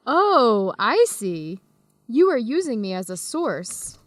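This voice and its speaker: A woman's voice: on the high side, big pitch swings, extremely slow, no echo, full character voice. An actress playing a friendly, easygoing young woman student traveler in a radio drama for English learners. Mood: amused. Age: young adult.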